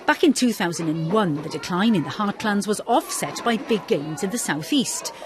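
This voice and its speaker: A woman reporting a broadcast news story in a measured, clear voice. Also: mid-range voice, animated, crowd noise.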